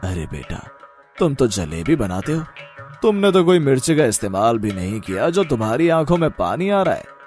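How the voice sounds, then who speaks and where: A man voicing a gentle, wise holy man in a moral-story cartoon, giving soothing, fatherly counsel. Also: medium pitch, big pitch swings, moderate pace, faint music behind, close to an everyday voice. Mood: consoling.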